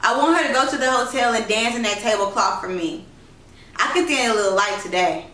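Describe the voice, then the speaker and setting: A woman's voice: a little high, a little fast, sharp tone. A woman voicing a kind, genteel lady traveller, gracious and amused, in a storybook reading. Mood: wistful. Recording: roomy, distant, noisy home recording.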